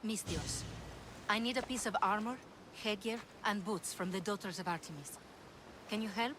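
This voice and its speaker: A woman's voice: mid-range voice, normal speed, noisy background, lightly acted. A woman playing an earnest, troubled townswoman in a video game, with an emotional delivery. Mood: earnest, defiant. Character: earnest, troubled Greek townswoman pleading for help. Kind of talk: video game cutscene acting.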